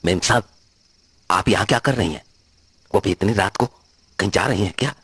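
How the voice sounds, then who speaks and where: A man's voice: fairly low pitch, moderate pace, creaky, a bit bright, breathy edge, no echo, full character voice. Man playing a deferential, rustic household caretaker. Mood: curious, respectful. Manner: respectful, rustic colloquial Hindi phrasing. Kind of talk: audio horror drama acting.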